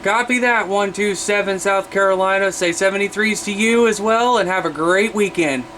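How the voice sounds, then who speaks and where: A man's voice: sits high, normal speed. An American man talking casually as a CB radio operator, making contacts over the air. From Iowa, United States. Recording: very noisy radio static and hum.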